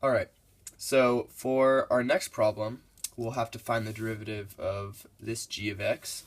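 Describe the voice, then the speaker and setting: A man's voice: lowish voice, level tone, a little slow. A congested young man giving a casual, laid-back math lesson over his worked problems. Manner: laid-back, loose and casual, with many fillers.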